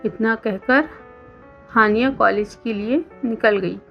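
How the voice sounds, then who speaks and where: A woman tells a scripted romantic drama story. Her voice sits a little high. The recording is slightly dull and lo-fi, with soft plucked string music behind her. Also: slow pace, creaky. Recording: dull lo-fi sound under music.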